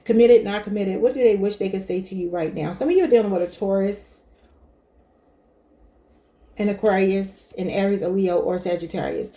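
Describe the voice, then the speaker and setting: A woman's voice: medium pitch, normal speed, warm tone. A woman giving a casual tarot reading to her viewers, chatty and thinking aloud, calling them y'all. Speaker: tarot reader.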